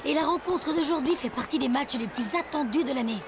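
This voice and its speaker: A woman's voice: sits high, brisk, noisy background, natural voice. A woman playing an enthusiastic football commentator in a cartoon, with music behind her. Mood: bright, eager. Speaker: match commentator.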